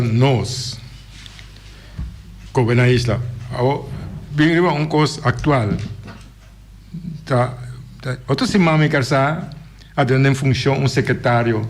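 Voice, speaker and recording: Halting male radio host. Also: mid-range voice, slight background noise. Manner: hesitant, halting questions. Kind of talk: radio interview. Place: radio studio.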